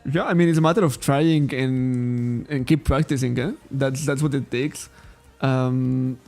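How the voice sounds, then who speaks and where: A man's voice: medium pitch, fairly even intonation, unhurried, gravelly, darkish tone, soft background music. A man from Spain, a native Catalan speaker with a Catalan accent in English, chatting casually on a card shop livestream, rambling, correcting himself and laughing often. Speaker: trading card shop streamer.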